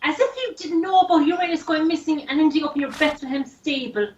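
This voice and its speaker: A woman plays an imperious, indignant horse owner in a radio play. Her very high, scornful voice comes from off the mic in an echoey room. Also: fast talker, a little mellow, far from the mic, close to an everyday voice. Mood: indignant, accusing. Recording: muffled, echoey, off-mic recording.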